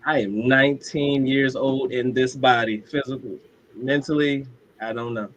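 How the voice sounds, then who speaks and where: A man's voice: mid-range voice, steady tone, a little slow, a bit bright, lo-fi sound. An eighteen-year-old man giving brief, laid-back answers as a guest in a casual chat.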